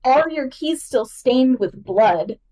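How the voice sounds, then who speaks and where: A woman's voice: high-pitched, lively intonation, takes it slowly, warm tone, distant mic, rough recording. A woman giving enthusiastic, emphatic literary analysis as a podcast guest, casual and nerdy in her delivery.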